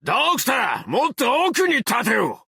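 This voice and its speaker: A man playing a brash, cocky explosives fanatic with a tail in an anime game, talking in rough, swaggering slang with a gravelly voice. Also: very high voice, huge pitch swings, a little slow, bright, forceful tone, no room sound, raised voice, exaggerated voice. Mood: bossy, fired up. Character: brash tailed male explosives fanatic, cocky bureau officer. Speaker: game character voice lines. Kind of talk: Japanese anime game voice acting.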